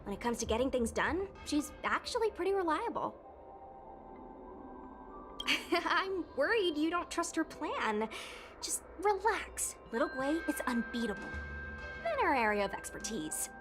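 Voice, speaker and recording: A woman voicing a brash, energetic young swordswoman in a game cutscene, blunt and a little teasing. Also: high-pitched, unhurried. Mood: reassuring. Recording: game cutscene with music behind.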